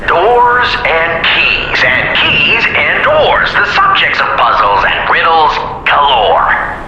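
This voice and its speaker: Echoing, high-pitched gleeful trickster. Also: male voice, huge pitch swings, slow pace, muffled recording, heavily acted. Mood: gleeful, mocking. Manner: rhyming, showman-like, gloating. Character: theatrical riddle-posing male trickster, gleeful and mocking. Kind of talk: video game character acting. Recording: very echoey with clear background noise.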